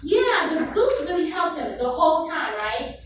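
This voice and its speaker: A woman's voice: sits high, sing-song, moderate pace, highs cut off. A woman teacher reading aloud and leading a discussion with her class. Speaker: middle school teacher. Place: indoor classroom. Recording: very echoey classroom, distant mic, noisy.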